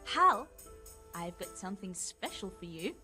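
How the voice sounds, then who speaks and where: An actress playing a young tavern maid in a video game, coy, sweet and affectionate. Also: female voice, mid-range voice, sing-song, a little slow, over music. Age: young adult. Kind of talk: video game cutscene acting.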